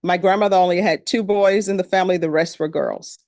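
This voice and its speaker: A woman's voice: lowish voice, a little fast, darkish tone, no echo, studio quality. A middle-aged woman from Louisiana with a Louisiana accent, a judge speaking to a jury panel in a courtroom in a plain, conversational storytelling manner.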